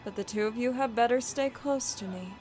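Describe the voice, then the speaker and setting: An actress playing a gentle, anxious mother in an audio drama, speaking tenderly and carefully. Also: a little high, a little fast, lightly acted. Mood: uneasy. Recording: music behind the voice.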